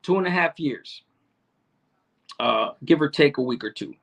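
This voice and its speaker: Man, middle-aged, gravelly, raspy edge, streetwise slang, casual livestream chat. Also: on the high side, animated, measured pace. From Salvadoran, raised in Chicano neighborhoods. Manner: streetwise slang, heavy swearing, repeats himself. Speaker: livestream host answering chat.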